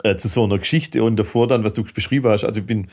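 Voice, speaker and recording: A man's voice: on the low side, steady tone, very fast, a little mellow. A middle-aged man from southwest Germany chatting casually as a podcast guest, enthusiastic and often rambling as he restarts his sentences. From southwest Germany (Heilbronn area). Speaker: interview guest, filmmaker.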